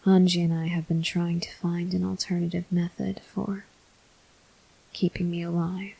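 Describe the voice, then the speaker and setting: A woman playing a brooding, guilt-ridden male vampire officer in an ASMR roleplay, whispering breathily in slow, halting, formal phrases. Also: lowish voice, flat delivery, vocal fry, mellow, breathy edge, low-quality recording. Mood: weary, grateful.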